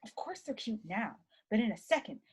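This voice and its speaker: A woman's voice: a little high, big pitch swings, fairly quick, a little mellow, slightly rough, heavily acted. A woman playing a jittery, doom-predicting crew member in an amateur table read, anxious and complaining.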